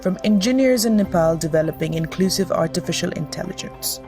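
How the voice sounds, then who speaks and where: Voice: mid-range voice, expressive, brisk. A narrator reading a polished script for an organisation's promotional video. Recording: voice-over with music bed.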